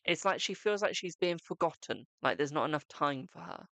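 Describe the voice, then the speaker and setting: A man's voice: a little high, lively intonation, brisk, vocal fry, bright, forceful tone, no room sound. A man giving a live-stream psychic tarot reading, chatting to viewers and calling them "my darling".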